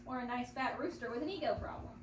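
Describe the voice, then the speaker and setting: A woman's voice: a little high, fast, natural voice. A teenage girl playing a sassy, sly, vain cat in a classroom reader's theater, with a teasing manner. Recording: echoey, noisy classroom, distant mic.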